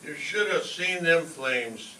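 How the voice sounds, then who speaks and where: A man playing a blustering, excitable family father with folksy bad grammar in an old-time radio comedy. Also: on the high side, slow. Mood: sheepish. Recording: roomy, distant stage pickup with faint noise.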